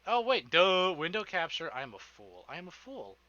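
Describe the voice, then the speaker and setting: A male streamer talks casually to his viewers in a rambling, sweary, informal way. His voice is very bright and forceful, sitting a little high for a man, and the stream audio is slightly dull and band-limited. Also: wildly swooping pitch, normal speed, no room sound. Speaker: live streamer.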